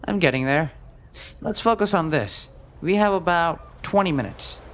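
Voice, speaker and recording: A man's voice: a little high, expressive, normal speed. A man playing an earnest, cautious detective partner with dry sarcasm in a scripted audio drama. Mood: dry, focused. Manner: earnest, questioning, lightly sarcastic.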